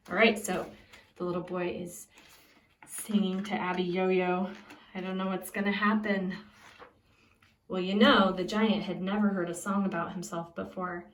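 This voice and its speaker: A woman reads a picture book aloud as a preschool teacher, simply and expressively, pausing to put questions to young listeners. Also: fairly low pitch. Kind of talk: read-aloud storytelling with questions to young children. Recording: echoey room, distant from the mic.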